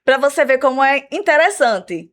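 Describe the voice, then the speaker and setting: A woman with a Brazilian Portuguese accent, an English teacher explaining her school's program in a radio interview, measured and full of "né?" tags. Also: sits high, sing-song, fairly bright tone. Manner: measured, explanatory, repeats words with "né?" fillers.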